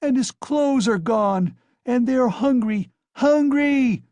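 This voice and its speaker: A man's voice: very high voice, takes it slowly, warm tone, no room sound, pitched above the performer's own voice. Man putting on a shrieking frantic mother. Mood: frantic screaming. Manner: screaming accusations in a frenzy. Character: frantic, desperate poor mother, shrieking woman.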